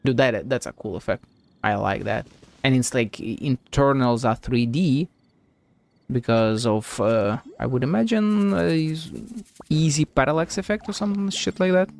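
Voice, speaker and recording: A man's voice: medium pitch, a little slow, creaky, darkish tone. A man streaming a game with casual, slangy, laid-back live commentary.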